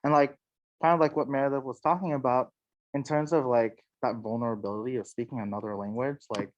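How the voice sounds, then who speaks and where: A man's voice: mid-range voice, a little fast, highs cut off. A young man whose first language is Vietnamese, a French teacher speaking earnestly on a panel, with many fillers. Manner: relaxed, filler-heavy, earnest. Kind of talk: casual panel discussion.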